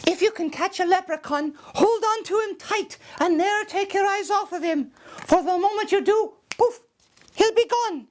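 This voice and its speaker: A woman's voice: extremely high pitch, moderate pace, pitched above the performer's own voice. A woman voicing a dreamy widowed mother with an airy voice, in a storybook reading. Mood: instructive.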